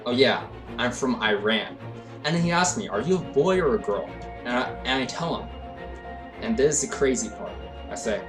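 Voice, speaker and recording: A young man narrating a comedic storytime, swinging between hyped rants and low deadpan asides. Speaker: storytelling vlogger.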